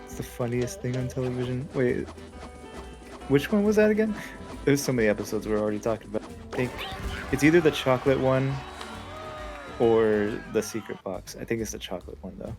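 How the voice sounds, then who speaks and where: A man's voice: medium pitch, animated, brisk, long pauses. A man from Texas chatting casually on a gaming stream, rambling and reading chat aloud. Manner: rambling, reads chat aloud, laughs often. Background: game music.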